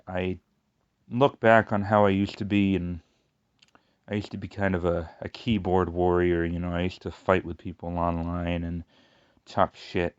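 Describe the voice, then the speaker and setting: A man with a noticeable lateral lisp talks in a low, rambling voice and keeps restarting his sentences. The recording is dry and a bit dull. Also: smooth, no room sound. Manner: rambling, halting, many restarts and fillers. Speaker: YouTuber. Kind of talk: casual personal monologue.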